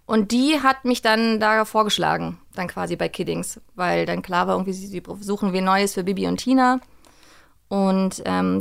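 A woman's voice: mid-range voice, gravelly. A woman chatting casually and informally as an interview guest, talking like someone who works in voice acting. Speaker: interview guest, voice actor and audio drama director.

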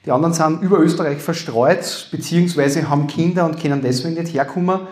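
A man's voice: fast talker, darkish tone. Upper Austrian man joking on stage. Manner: dry joking asides, trailing off low. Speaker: lawyer and comedy panelist. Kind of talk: live stage talk with jokes. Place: university hall before a live audience. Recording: echoey room. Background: audience.

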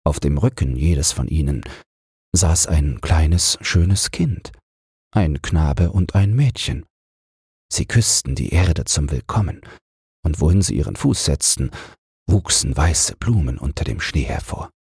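A man with an extremely low, breathy and husky voice narrates a bedtime fairy tale. His delivery is slow, measured and soothing, recorded dry and close. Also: animated, darkish tone, no room sound. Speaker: audiobook reader.

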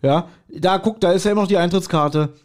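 Male podcast host, fairly high voice, joking and rambling in relaxed conversation. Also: a little fast. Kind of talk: casual chat.

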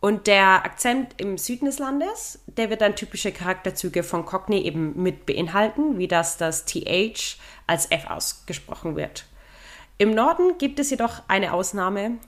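A woman co-hosting a podcast, explaining things in a relaxed chat and laughing at her own jokes. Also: mid-range voice, measured pace. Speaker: podcast co-host. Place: living in London.

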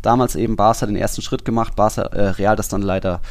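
A man with a fairly low voice talks football casually as a podcast co-host. He sounds colloquial and opinionated, and the recording is close and dry. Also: fairly even intonation, fast, no room sound.

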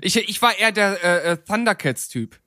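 A man's voice: high voice, animated, normal speed, pushed and bright, no room sound, studio quality. A man chatting casually on a podcast, often searching for his words.

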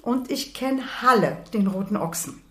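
A woman in her sixties, born in Berlin, recounts her life in a calm, matter-of-fact way. Her voice sits in a middle range. The room gives it a noticeable echo. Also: mid-range voice, normal speed. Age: 60s. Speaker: guest, author and retired nurse. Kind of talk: personal life story in conversation. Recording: roomy echo.

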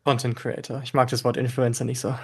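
A man in his early twenties talks casually as a podcast guest. He is relaxed and chatty, stumbling through fillers and laughing easily. His pitch sits at an ordinary middle level. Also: fairly quick, breathy edge. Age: 20s. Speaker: podcast guest, tech creator.

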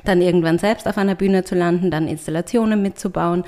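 Woman, interview guest, writer and artist; lowish voice, thoughtful, hesitant phrasing. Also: fairly even intonation, fast, darkish tone. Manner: thoughtful, searching for words, many fillers and restarts. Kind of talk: reflective conversational interview.

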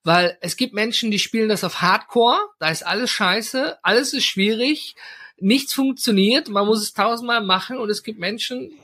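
High, bright-voiced male podcast host. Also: moderate pace, husky. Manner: rambling, many fillers and tag questions. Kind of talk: casual interview chat.

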